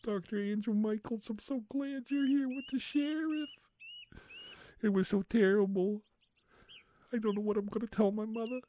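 A man's voice: high voice, steady tone, moderate pace, warm tone, slight background noise, pitched above the performer's own voice, close to an everyday voice. A man voicing a flustered, nerdy young man in thick glasses who rambles in an overwrought, breathless way. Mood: distraught. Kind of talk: ASMR roleplay storytelling.

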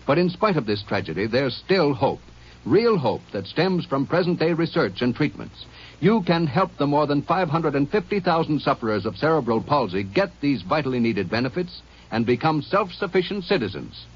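Earnest old-radio announcer, lively pitch. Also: male voice, moderate pace, breathy edge. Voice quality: earnest, emphatic. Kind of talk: 1950s radio public service appeal. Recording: muffled old radio broadcast.

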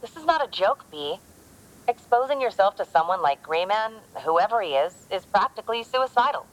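An actress playing a hero's worried, sardonic tech ally, brisk, skeptical and wry, in an audio drama. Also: female voice, medium pitch, lively intonation, lightly acted, poor audio. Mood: worried, exasperated.

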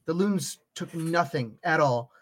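A man's voice: fairly high pitch, animated, unhurried, fairly bright tone. Upbeat man hosting a soccer show. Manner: upbeat, friendly, frequent fillers. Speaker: podcast host. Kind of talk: casual sports chat, reading chat comments.

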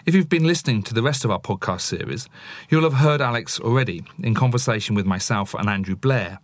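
A man's voice: medium pitch, animated, a little fast, no room sound. A man presenting a podcast as host and interviewer, introducing segments and putting questions to a guest.

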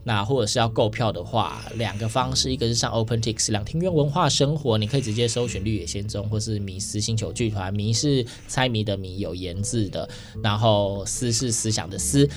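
A man's voice: on the low side, a little fast, soft background music. Male radio host chatting, Taiwanese Mandarin accent. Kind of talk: casual interview chat. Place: radio studio.

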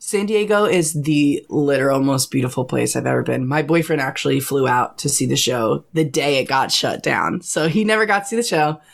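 A young man with a high voice that gets taken for a woman's, a Broadway actor chatting as a guest in a bubbly way, with lots of fillers and laughs. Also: animated, normal speed, vocal fry, few pauses. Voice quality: high-timbred voice often taken for a woman's on the phone. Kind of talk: casual podcast chat.

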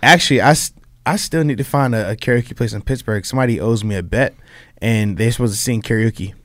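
A man co-hosting a sports radio show who hypes things up with shouted, sing-song ad-libs. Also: mid-range voice, brisk, no room sound. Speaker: sports radio co-host, former player. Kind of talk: hype sports show banter.

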